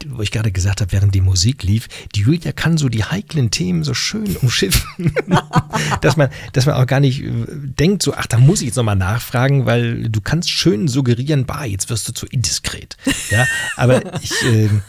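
A middle-aged man with a husky voice hosting a casual radio interview, teasing and probing, close on a studio mic. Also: medium pitch, animated, normal speed, dry recording. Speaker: radio host. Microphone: close studio broadcast mic on boom arm.

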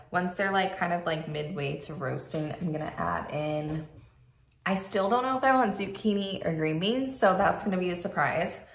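A woman's voice: lowish voice, echoey room. A woman in her thirties chatting casually to camera in her home vlog, with many "like"s and "um"s. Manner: chatty, filler-heavy, lots of "like" and "um". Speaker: vlogger. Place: kitchen and living room of an apartment.

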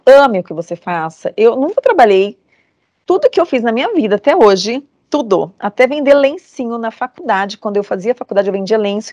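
A woman's voice: mid-range voice, darkish tone, dry recording. A woman in her forties from Brazil, giving an earnest, emphatic motivational talk to students over a video call. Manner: earnest, emphatic, repeats phrases for conviction. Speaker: lecturer.

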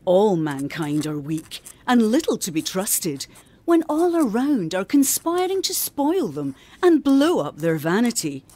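Period radio drama: admonishing gentlewoman, formal and measured, husky edge, expressive pitch. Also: heavily acted. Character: genteel, earnest, admonishing eighteenth-century lady patron. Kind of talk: radio drama, letter read aloud.

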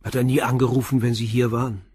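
An actor playing a calm, probing police inspector in a radio crime drama, questioning in a terse, matter-of-fact way. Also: male voice, medium pitch, fast, slightly rough, no room sound, studio quality, close to an everyday voice.

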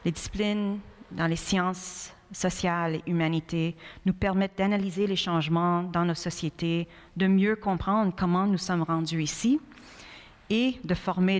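A middle-aged woman, a professor giving an earnest, measured academic keynote at a lectern microphone. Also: on the low side. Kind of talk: prepared academic keynote speech. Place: indoor university hall at a lectern. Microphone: microphone on a stand, close in front. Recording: reverberant hall through microphone.

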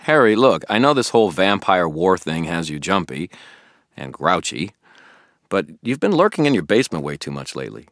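A man doing an eager young man's voice, pitched well above his own low register and bouncing lively. He talks insistently and earnestly, like a pushy friend who won't drop the subject, in a dry, close storybook reading. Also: moderate pace, no echo, heavily acted. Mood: concerned. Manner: insistent, pleading.

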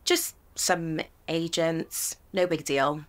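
An actress playing a hopeful young woman who dreams of a stage career, speaking in a slow, wistful, hesitant way. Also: low voice, fairly even intonation. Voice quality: sings softly.

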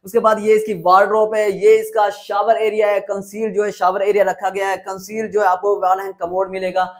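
A man with a Pakistani accent hosts a property tour in a high-pitched voice. He presents with enthusiasm, in an effusive salesman's patter that circles back on the same phrases. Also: monotone, a little fast.

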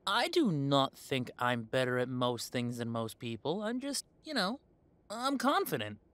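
A man's voice: mid-range voice, big pitch swings, measured pace, fairly bright tone. A man playing an easygoing, confident young werewolf in a roleplay audio drama, talking in a chatty, hesitant, conversational way. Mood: amused, self-assured. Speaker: voice actor.

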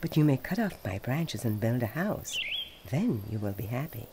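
A woman voicing a gentle, loving female tree in a storybook, speaking softly and tenderly. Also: a bass voice, big pitch swings, moderate pace, background noise, full character voice. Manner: tender, pleading, soft. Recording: outdoors with birds and insects.

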